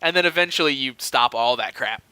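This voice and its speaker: A man co-hosting a casual comedy podcast, telling stories in an animated, chuckling way. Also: mid-range voice, a little fast, smooth, no echo. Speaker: podcast co-host. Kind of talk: casual comedic storytelling chat.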